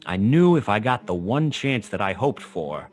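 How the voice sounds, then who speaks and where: A man's voice: on the low side, sing-song, normal speed. A man playing a hardboiled detective, narrating a noir radio play in a wry, terse, dramatic way. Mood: tense, wry. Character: hardboiled male detective narrating his brush with death.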